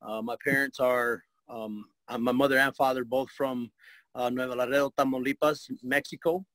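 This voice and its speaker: Man from Michigan, bright voice, dry close sound, halting, full of ums. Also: mid-range voice, flat delivery, no room sound, low-quality recording. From Michigan, USA. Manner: hesitant, many ums and restarts. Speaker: interview guest. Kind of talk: casual spoken life story.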